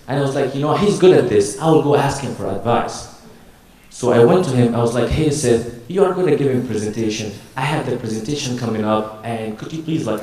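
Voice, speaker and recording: A man's voice: medium pitch, a little mellow. Egyptian-accented man presenting in an echoey hall. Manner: casual, joking storytelling with acted-out dialogue. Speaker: university staff presenter. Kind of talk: humorous live presentation to an audience. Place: large echoey room before an audience. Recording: very echoey hall, distant microphone.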